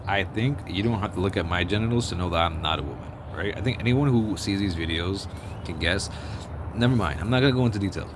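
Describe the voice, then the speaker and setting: Man vlogger, very low bass voice, blunt and profane, rambles and restarts, street traffic noise behind. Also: fast. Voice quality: deep bass voice. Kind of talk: casual opinion monologue. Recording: outdoor street noise with passing vehicles.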